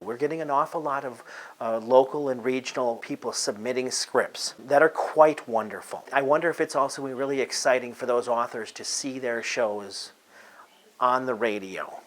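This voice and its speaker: Guy in a casual interview, mid-range voice, kinda halting, feels for the right words. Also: medium pitch, a bit bright, rough recording. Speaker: theatre group producer.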